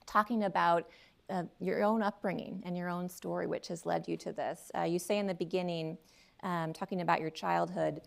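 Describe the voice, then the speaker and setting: A woman interviewing an author before a live audience, hesitant and warm, with many fillers. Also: lowish voice, fairly even intonation, moderate pace, vocal fry. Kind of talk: onstage interview, reading a book passage aloud. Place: seminary event room before a live audience.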